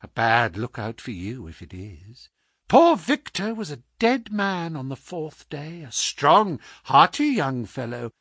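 A man's voice: on the high side, big pitch swings, measured pace, a bit bright, breathy edge, no echo, studio quality, heavily acted. A man voicing a gloating, sneering villain in an audiobook story, with an insistent, mocking manner. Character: gloating, sneering villain visiting a sick enemy. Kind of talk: audiobook character acting.

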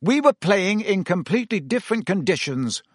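A reader puts on an intense teenage captain, lifted in pitch and pushed harder in volume. He sounds insistent and keyed up, each line pressed with urgency, close and dry on the mic. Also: big pitch swings, moderate pace, dry recording. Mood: urgent, intense. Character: teenage schoolboy team captain, intense and anxious.

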